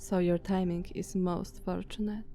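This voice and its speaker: A woman with a Slavic accent voicing a dominant, haughty female vampire master in a soft, commanding ASMR roleplay. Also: fairly low pitch, little pitch movement, a little hiss or hum. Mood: calm, imperious. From Slavic country. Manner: slow, soft, commanding, condescending.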